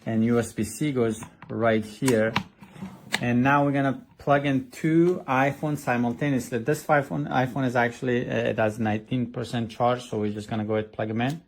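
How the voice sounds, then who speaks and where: A man's voice: mid-range voice, steady tone, normal speed, a little hiss or hum. A man reviewing a product in a casual, hands-on way, explaining step by step with some hesitation. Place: indoors at a desk.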